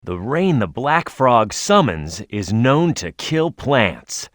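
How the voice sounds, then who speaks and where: A man's voice: mid-range voice, very animated, slow, dry recording, clean studio sound. A man voicing a tough, hot-headed young echidna hero in a video game, speaking emphatically and with cocky confidence. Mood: curious, alert. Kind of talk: video game character voice lines, tutorial hints and battle shouts.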